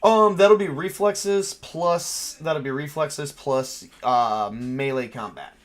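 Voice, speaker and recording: A man casually narrating a tabletop role-playing game as game master, excitable and quick to swear. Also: on the high side.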